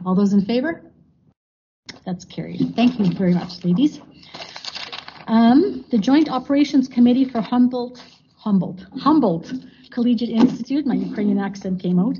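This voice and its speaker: Mellow husky woman chairing a meeting. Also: medium pitch. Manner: procedural, many fillers and thank-yous. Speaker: board chair. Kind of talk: chairing a formal board meeting. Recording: dull lo-fi meeting recording.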